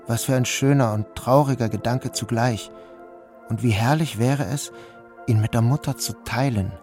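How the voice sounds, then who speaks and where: A man's voice: medium pitch, normal speed, darkish tone, close to an everyday voice. Male actor as a subdued first-person narrator, mid-range voice, faint music under him. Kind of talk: literary radio play narration.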